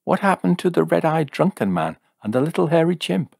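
A man's voice: a little high, sing-song, brisk, vocal fry, a little mellow, no room sound, studio quality, pitched above the performer's own voice, exaggerated voice. A man voicing a naive, coquettish woman in a story reading, eager and coy. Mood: curious. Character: joyous, naive, coquettish woman, defending her friend.

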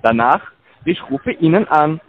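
A man's voice: on the high side, big pitch swings, unhurried. Man on a tinny phone. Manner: broken, insistent. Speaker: call center agent.

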